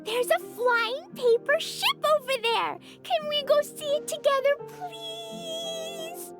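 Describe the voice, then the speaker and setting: A woman voicing an excitable, naive, tiny childlike girl companion in an animated game, with a squeaky voice. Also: slow. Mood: eager, excited. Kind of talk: animated game character acting. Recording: music behind the voice.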